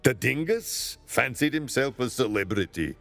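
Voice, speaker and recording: An actor playing a sardonic, folksy storyteller who narrates a video game tale with dramatic drawl. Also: male voice, mid-range voice, big pitch swings, normal speed, gravelly, a bit bright, soft background music. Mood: wry, ominous.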